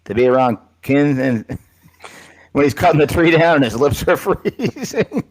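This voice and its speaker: A man co-hosting a live high school football podcast, chatting casually and calling his co-host buddy. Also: lively intonation, unhurried. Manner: easygoing, chummy, calls co-host buddy.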